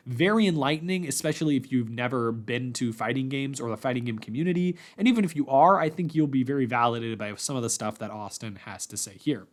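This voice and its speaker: A man hosting a podcast in a casual, chatty, informal way with animated emphasis. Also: mid-range voice. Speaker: podcast host.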